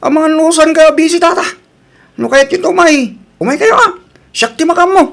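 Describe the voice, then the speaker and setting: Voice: extremely high pitch, animated, a little fast, vocal fry, a bit bright, exaggerated voice. An actor voicing a whiny, pestering young relative in a radio comedy drama.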